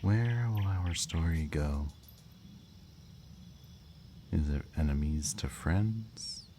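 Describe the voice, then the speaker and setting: Man playing a very deep, slow, gloating villain. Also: noisy background. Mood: sly, menacing. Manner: slow, mocking, theatrically drawn-out delivery. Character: smug, taunting male villain kidnapper, theatrical and gloating. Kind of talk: scripted audio roleplay, ASMR.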